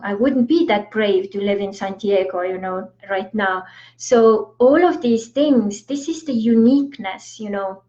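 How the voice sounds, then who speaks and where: A woman in her forties speaks with an Estonian accent in a loose, chatty monologue full of "you know" and "like". Her voice sits at an ordinary middle pitch. The livestream audio is dull and lo-fi. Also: darkish tone. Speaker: spiritual coach on a livestream. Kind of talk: casual motivational monologue. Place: home in the US. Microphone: livestream from phone or laptop.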